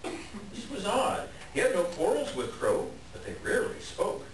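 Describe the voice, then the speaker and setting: Guy narrating a story, expressive n animated, super echoey room, kinda far from mic. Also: sits high, a little slow. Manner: expressive, dramatic. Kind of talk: scripted storytelling in reader's theater. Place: indoor library reading, distant mic. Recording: very echoey room, distant, faint noise.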